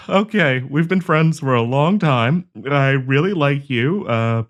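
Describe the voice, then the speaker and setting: A man's voice: a little high, lively intonation, takes it slowly. A man playing a nervous, awkward young man shyly confessing love to a friend in an audio roleplay, stammering and hesitant. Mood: nervous, earnest. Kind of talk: intimate audio roleplay acting.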